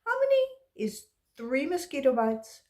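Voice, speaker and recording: A woman's voice: sits high, sing-song, a little slow, darkish tone, pitched above the performer's own voice. A woman voicing a firm, instructive, unflappable lady schoolteacher in a children's storybook read-aloud. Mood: quizzing.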